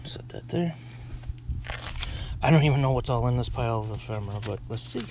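A man's voice: mid-range voice, brisk. A middle-aged man outdoors by his car, casually talking through his haul as a reseller, thinking aloud and often unsure what things are worth. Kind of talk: casual vlog narration. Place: outdoors by a car trunk, rural. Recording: outdoor noise with insects.

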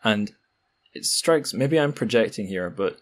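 A man's voice: lowish voice, animated, a little slow. A man hosting a podcast, talking reflectively about books in a musing, conversational way. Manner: musing, poses rhetorical questions. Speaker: podcast host. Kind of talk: reflective conversational book discussion.

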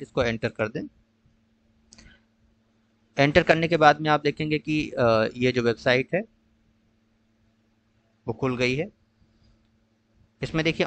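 A man patiently walking viewers through an online counselling process in a screen-share tutorial, pausing often with "uh". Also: medium pitch, a bit bright. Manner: patient, explanatory, mixes English phrases with frequent "uh" pauses. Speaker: tutor explaining a college allotment process.

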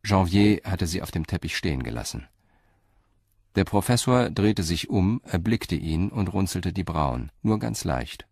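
A man with a low voice narrates a crime radio play. His delivery is sober and measured, like scripted storytelling, in a close, dry recording. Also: very animated, vocal fry, a little mellow, dry recording.

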